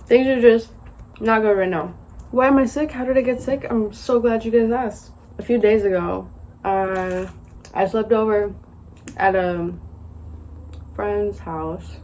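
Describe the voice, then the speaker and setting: A woman's voice: medium pitch, a little slow, pauses often, a little mellow, poor audio. A young woman vlogging while sick and stuffy, chatting casually and rambling with lots of "like", outdoors on a patio. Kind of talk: casual vlog chat. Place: outdoor patio by a golf course. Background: birds and outdoor ambience.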